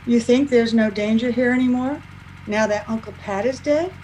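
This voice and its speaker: A woman's voice: on the high side, normal speed, dark tone, slight background noise, natural voice, rough recording. A woman playing an anxious, grieving young sister in an old time radio drama, earnest and questioning, recorded over a video call. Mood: worried, tender. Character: worried, grief-stricken young woman, sister of a slain trooper.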